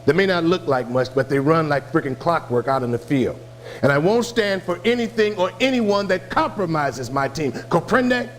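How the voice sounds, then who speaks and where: An actor playing a gruff middle-aged colonel who talks bluntly and emphatically in a game cutscene. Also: male voice, on the high side, expressive, moderate pace, a little hiss or hum, close to an everyday voice. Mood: blunt, stern. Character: gruff, no-nonsense male colonel running a rebel base. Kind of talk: scripted game cutscene acting.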